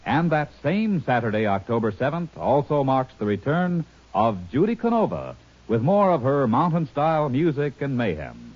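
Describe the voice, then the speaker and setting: A man reading a network promo in 1950s radio style, smooth and upbeat. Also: mid-range voice, sing-song, normal speed. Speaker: network announcer. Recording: dull old radio recording.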